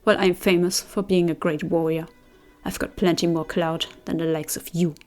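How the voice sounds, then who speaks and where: A woman's voice: lowish voice, fairly even intonation, moderate pace, faint music behind, lightly acted. A woman doing a brash hot-headed young warrior guy. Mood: scornful. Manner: shouting, indignant, snappish.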